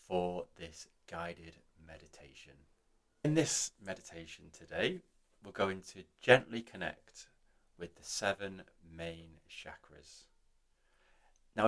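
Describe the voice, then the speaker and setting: A man gently hosting a guided meditation in a soothing, reassuring, unhurried manner. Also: deep voice.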